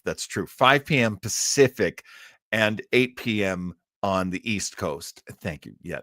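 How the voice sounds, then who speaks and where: A man hosting a live-streamed show, chatting casually and jokily with viewers, rambling along and quick to chuckle. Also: mid-range voice, fairly bright tone, dry recording, clean studio sound.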